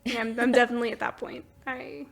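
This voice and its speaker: A woman's voice: on the high side, fairly even intonation, fast, vocal fry. A woman hosting a podcast in a casual book chat, talking informally and chattily and laughing readily. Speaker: podcast host.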